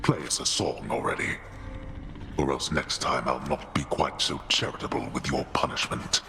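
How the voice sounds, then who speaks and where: Deep, creaky underworld tyrant. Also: male voice, moderate pace, long reverb tail. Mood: menacing impatience. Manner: imperious, sardonic. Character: stern, menacing, commanding old god of the underworld. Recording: game music behind the voice.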